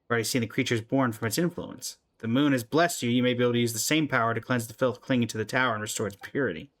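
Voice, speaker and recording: A man's voice: mid-range voice, rapid-fire, a bit bright, lightly acted. A man reading as an ancient wise woman. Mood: solemn. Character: ancient wise woman guardian, gentle and regretful.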